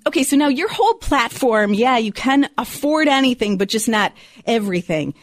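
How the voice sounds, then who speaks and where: A woman's voice: on the high side, very animated, normal speed, no room sound, studio quality. A woman hosting a podcast, presenting to listeners and interviewing in a direct way. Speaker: podcast host.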